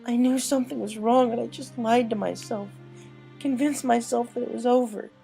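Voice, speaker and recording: Woman playing a guilt-ridden young pony girl: pitched high, halting, pleading, loyal, for a cartoon story with music underneath. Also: steady tone, vocal fry, dark tone. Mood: tearful, desperate.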